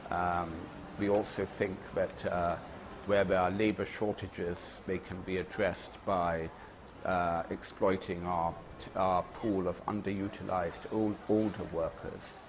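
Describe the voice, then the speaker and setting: Man, very deep voice, interview answer, hesitant fillers, noisy background. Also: flat delivery, slow. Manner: articulate, with frequent ums and uhs. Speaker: critic interviewed.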